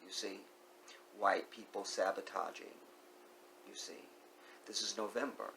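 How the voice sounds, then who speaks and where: A man with a gravelly, creaky voice talks straight to his viewers in an opinionated monologue. He hammers his points by repeating phrases and asking rhetorical questions. Now and then he drops to a hushed whisper over a faint hiss. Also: fairly low pitch, fairly even intonation, a little slow. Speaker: vlogger.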